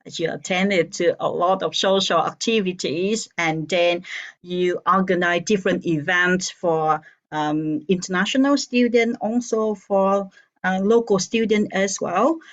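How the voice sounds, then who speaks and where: A woman hosting an interview, asking unhurried questions and murmuring agreement. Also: on the low side, fairly even intonation. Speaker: interviewer host. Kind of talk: casual interview chat. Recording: dull lo-fi sound.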